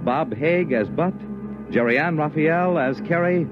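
A man's voice: fairly high pitch, animated, unhurried. A man reading scripted credits and promotion as a 1950s radio announcer. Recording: muffled old radio recording, music behind.

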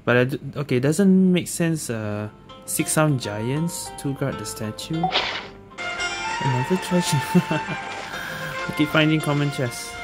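A woman's voice: sits low, expressive, slow pace. A woman doing casual gameplay commentary, thinking aloud and repeating herself. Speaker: gaming streamer. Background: game music.

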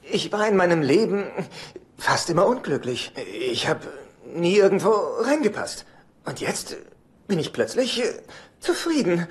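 A man's voice: sits high, sing-song, unhurried. An actor playing a neurotic, insecure middle-aged divorced father in a dubbed sitcom, speaking in a hesitant, earnest, fussy way. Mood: confessional, anxious. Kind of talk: German sitcom dubbing.

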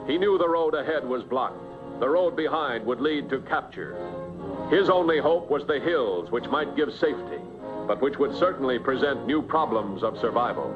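A man narrating a 1950s TV crime drama in a grave, measured voice-over. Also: medium pitch, lively intonation. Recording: narrow lo-fi TV voice-over over music.

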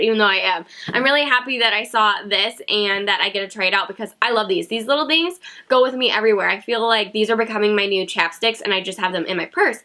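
A woman's voice: mid-range voice, bright, forceful tone. A woman in her thirties chatting to camera about beauty products, a bit sick and nasal, giggly and self-mocking, at a desk in her home beauty room. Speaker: beauty vlogger. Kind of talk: casual haul chat to camera.

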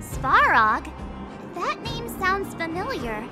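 A woman's voice: extremely high pitch, big pitch swings, slow pace, music in the background, exaggerated voice. A woman playing a perky, curious teenage girl adventurer in an anime-style video game, bubbly and exclamatory.

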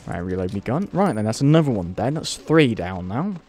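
A man's voice: lowish voice, big pitch swings, brisk, a little mellow, soft background music. A man with a British accent giving casual, wry commentary while playing a game and laughing at it. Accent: British English. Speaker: let's play host.

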